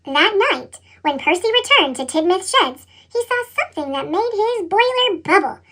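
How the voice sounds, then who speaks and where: A woman's voice: extremely high pitch, big pitch swings, normal speed, pushed and bright. A woman reads a children's story expressively, her voice altered with a sped-up chipmunk effect. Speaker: storybook reader.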